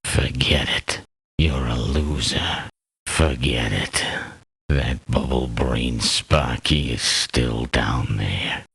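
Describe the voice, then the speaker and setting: Very deep, raspy menacing mercenary. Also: male voice, steady tone, a bit bright, no room sound, full character voice. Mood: contemptuous, threatening. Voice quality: raspy, whispery growl. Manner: slow, contemptuous, slangy. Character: cold, menacing, trigger-happy male mercenary with a contemptuous streak. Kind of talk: video game character voice acting.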